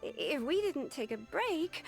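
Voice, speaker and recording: A woman's voice: sits high, big pitch swings, a little slow, gravelly, faint music behind, heavily acted. An actress playing an eager, flustered young female office worker in an anime game.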